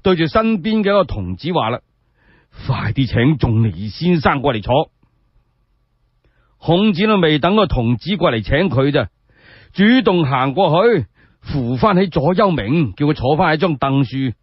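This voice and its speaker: A man with a Cantonese accent telling a story in an expressive, colloquial radio storytelling style. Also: on the high side, moderate pace, slightly rough, dry recording, muffled recording. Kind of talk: animated solo radio storytelling.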